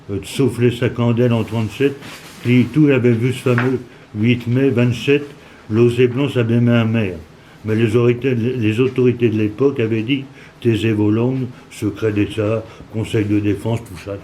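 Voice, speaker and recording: A man's voice: mid-range voice, warm tone, slight background noise, rough recording. Older Norman man reading in dialect. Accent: Cauchois Norman. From Normandy, France. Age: 70s. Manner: halting, with restarts and asides. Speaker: storyteller. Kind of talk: reading a story aloud in dialect.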